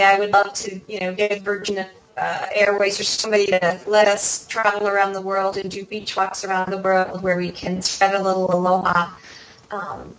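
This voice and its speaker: Woman, middle pitch, interview guest, casual conversational delivery, chatty, thinks aloud. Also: low-quality recording. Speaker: interview guest, video podcast host.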